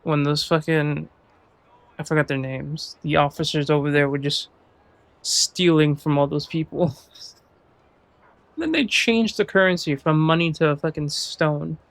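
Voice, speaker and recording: A man's voice: on the high side, a little slow, creaky, long pauses, no room sound. A man giving casual gameplay commentary as a streamer, blunt, sweary and scornful in his reactions. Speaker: gaming streamer.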